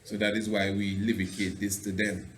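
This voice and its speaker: A passionate man hosts a radio talk show as a preacher, rambling and playing with words. His voice sits a little low and carries a roomy echo. A faint noise runs under his speech. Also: flat delivery, moderate pace, a bit bright. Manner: passionate, rambling, fond of wordplay. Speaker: radio show host and priest.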